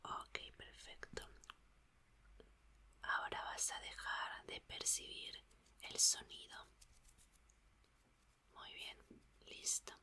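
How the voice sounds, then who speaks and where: A woman doing an ASMR roleplay as a gentle, reassuring scientist, whispering soothingly and softly repeating her words. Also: very slow, long pauses. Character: gentle, reassuring female scientist repairing an android.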